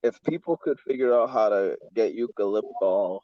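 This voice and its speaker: A man's voice: fairly low pitch, normal speed, dry recording. A man hosting a casual podcast chat, rambling with many stammered restarts and swearing with easy enthusiasm. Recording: dull dry lo-fi recording.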